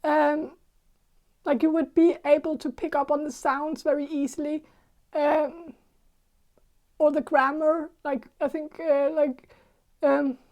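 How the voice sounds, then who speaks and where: A woman with a Danish accent, Icelandic by mother tongue, giving a casual tarot reading in a throaty, halting voice that searches for words. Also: sits high, little pitch movement, darkish tone, highs cut off. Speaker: tarot reader.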